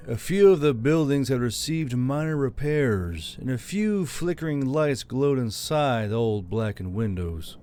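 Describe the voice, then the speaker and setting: A man's voice: mid-range voice, dark tone. A man reading a fantasy novel aloud as a scripted audiobook narrator. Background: background music.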